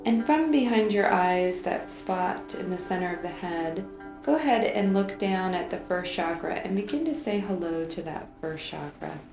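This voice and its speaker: A woman leading an unscripted guided meditation in a soothing, drawn-out way, gently repeating phrases. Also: fairly low pitch. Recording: roomy echo, faint music underneath.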